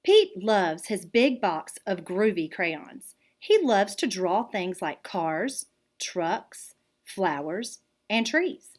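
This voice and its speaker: A woman reading a children's picture book aloud in an expressive, deliberate storytelling manner. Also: mid-range voice. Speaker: art teacher reading aloud.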